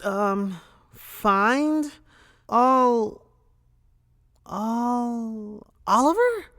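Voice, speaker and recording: A woman's voice: a little high, expressive, very slow, a bit bright, full character voice. A woman playing a chatty young kid adventurer in an audio drama, slangy and exclamatory. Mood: awkward, excited.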